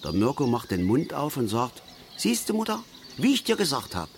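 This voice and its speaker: A man's voice: medium pitch, wildly swooping pitch, a little hiss or hum. An actor playing a self-righteous, talkative middle-aged father and angler in a radio drama, in a rambling, colloquial manner. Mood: indignant, self-righteous. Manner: rambling, colloquial, halting asides, self-important. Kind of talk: naturalistic German radio drama monologue and dialogue.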